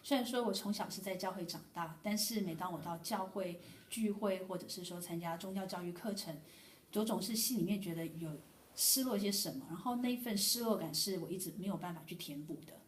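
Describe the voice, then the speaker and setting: A woman interpreting a sermon testimony sentence by sentence in a brisk, matter-of-fact way. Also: on the low side, a little hiss or hum. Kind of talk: consecutive church interpretation. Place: church hall. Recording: echoey room from far off.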